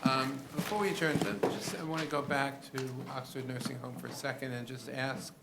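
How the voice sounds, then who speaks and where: A man's voice: medium pitch, fairly even intonation, fairly quick, creaky, heavy background noise. A man chairing a public planning commission review session, calling on members and moving the agenda along.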